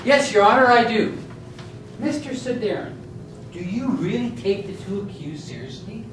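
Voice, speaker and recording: A woman's voice: mid-range voice, lively intonation, normal speed, lightly acted. A woman playing an emphatic, challenging defense lawyer in an amateur stage courtroom play. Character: dramatic, challenging defense lawyer in mock trial. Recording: echoey distant room, noisy.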